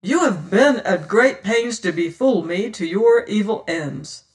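A man's voice: high-pitched, animated, unhurried. A man reading a story as a bitter, hot-tempered adventurer who accuses and defies in archaic speech. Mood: stern accusing. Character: indignant, hot-tempered, proud male adventurer, bitter and distrustful. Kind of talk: dramatised audiobook reading.

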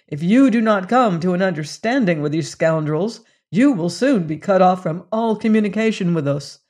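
A man voicing a practical adventurer who gives brisk, commanding orders. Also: sits high, expressive, darkish tone. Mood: urgent.

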